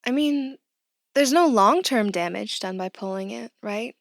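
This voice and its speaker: A woman playing an earnest, soft-hearted job candidate in a naturalistic audio drama, tentative and thinking aloud. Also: fairly high pitch, lively intonation, unhurried, vocal fry, no room sound, studio quality. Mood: hesitant, earnest.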